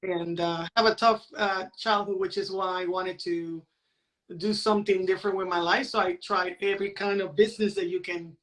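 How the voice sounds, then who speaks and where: A woman's voice: on the low side, fairly even intonation, moderate pace, rough recording. Lady, late 40s, casual podcast guest explaining stuff, says right? a lot. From San Juan, Puerto Rico. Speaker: podcast guest, crypto educator. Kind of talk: casual conversational interview.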